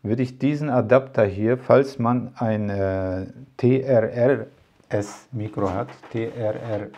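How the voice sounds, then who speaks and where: A man's voice: medium pitch, slow pace, dark tone, dull audio. A man talking casually to camera as a tech vlogger, rambling with many fillers and asides. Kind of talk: casual product talk to camera.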